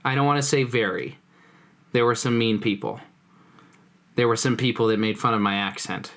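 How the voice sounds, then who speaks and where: A man's voice: mid-range voice, fairly even intonation, moderate pace, a bit bright. A young man chatting on a casual podcast. He tells his travel stories in loose, stop-start sentences and breaks into laughter often. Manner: laughs often, self-interrupting storyteller. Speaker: podcast co-host. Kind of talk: casual chat.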